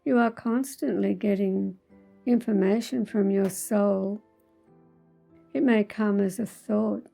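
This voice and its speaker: Very slow, dark-voiced woman guiding meditation. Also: medium pitch, steady tone, vocal fry, soft background music. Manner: measured, soothing, deliberate phrasing with pauses. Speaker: guided meditation host. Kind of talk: guided meditation, spiritual talk.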